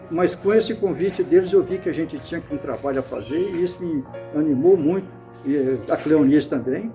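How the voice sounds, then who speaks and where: An older man from Bahia, Brazil, recalling his past in an interview, unhurried and often restarting or repeating words. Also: on the high side, creaky, warm tone. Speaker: interviewee, club founder. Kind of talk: reminiscing interview.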